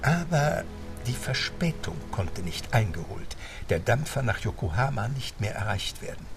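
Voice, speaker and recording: Deep, animated male storytelling narrator. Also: creaky, noisy background. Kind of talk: scripted radio play narration. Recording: music behind the narration.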